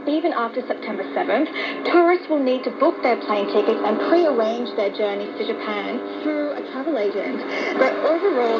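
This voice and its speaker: A woman giving a news report as a correspondent, on a dull shortwave radio broadcast. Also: a little high. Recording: dull shortwave radio with loud musical interference.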